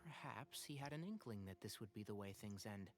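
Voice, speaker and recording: An actor playing a thoughtful young male companion in a video game, gentle and reflective. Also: lowish voice, expressive, fairly quick, faint music behind. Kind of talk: scripted video game dialogue.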